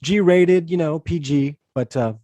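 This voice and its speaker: A man's voice: on the high side, slow, mellow, no echo, highs cut off. A man hosting a casual interview podcast, talking loosely with lots of restarts and fillers. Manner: rambling, many restarts and fillers. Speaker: podcast host.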